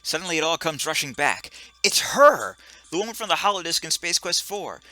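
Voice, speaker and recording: A man's voice: on the high side, big pitch swings, a little fast, sharp tone, no echo. A man casually commenting as he plays a game, joking at himself and tripping over words. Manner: joking, self-mocking, trips over words. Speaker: let's play gamer.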